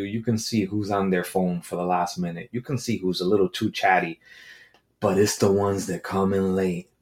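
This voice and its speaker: A man's voice: low-pitched, a little mellow. A man ranting casually on his own, crude and sarcastic, swearing freely and going off on tangents. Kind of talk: casual solo rant.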